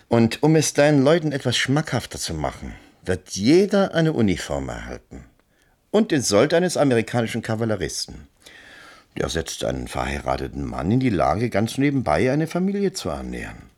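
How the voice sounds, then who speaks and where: An actor playing a scheming army colonel in a German radio drama, commanding, coaxing and insinuating. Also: male voice, on the low side, wildly swooping pitch, normal speed, darkish tone.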